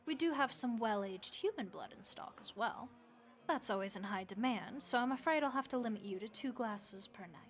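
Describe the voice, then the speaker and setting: A woman voicing a friendly, motherly vampire innkeeper who speaks gently and reassuringly to the listener in an audio roleplay. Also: fairly high pitch, animated, normal speed, natural voice. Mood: hospitable, chatty.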